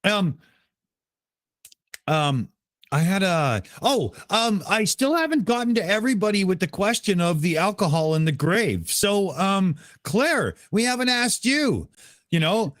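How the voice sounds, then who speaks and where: A man's voice: high-pitched, very animated, unhurried, fairly bright tone, no echo, clean studio sound. A man hosting a casual call, joking loosely and swearing. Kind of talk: casual chat.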